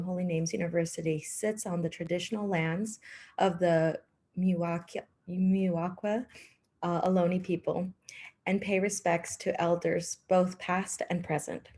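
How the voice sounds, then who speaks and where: A woman music student reading a land acknowledgement on a video call, cheerful and slightly halting. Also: lowish voice, darkish tone.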